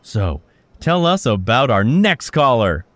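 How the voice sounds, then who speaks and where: An actor playing an upbeat radio telethon host who makes a chummy, chuckling pitch for donations. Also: male voice, sing-song, slow, a bit bright, dry recording. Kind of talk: audio drama acting.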